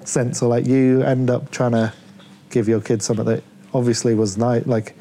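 Mellow-voiced man chatting casually. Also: medium pitch, flat delivery, moderate pace, slight background noise. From England, born Plymouth, raised Leeds. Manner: rambling, many likes and restarts. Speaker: podcast guest, artist. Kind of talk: casual conversation.

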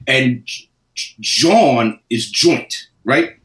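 A man's voice: medium pitch, expressive, drawn-out words, a bit bright, echoey room, recorded from a distance, low-quality recording. A man from Philadelphia with a slight Philly accent, chatting casually and laughing often in slangy talk. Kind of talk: casual chat.

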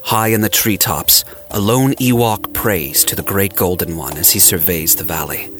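A man narrating a scripted audio drama in a dramatic storytelling manner with deliberate phrasing. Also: low voice. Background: music and sound effects.

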